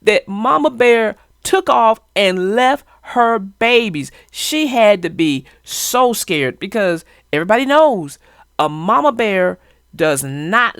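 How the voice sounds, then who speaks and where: A woman hosting a children's story discussion, talking conversationally in an expressive, emphatic way. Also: mid-range voice, no room sound, clean studio sound. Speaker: host of a children's story channel.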